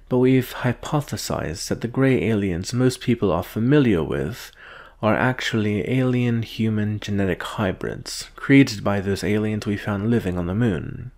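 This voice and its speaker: A man performs a male alien specialist for a shadowy agency in an ASMR roleplay. His voice sits fairly low and moves very slowly. He is composed and measured, with a knowing, condescending manner toward the captive he addresses. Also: vocal fry, darkish tone, exaggerated voice.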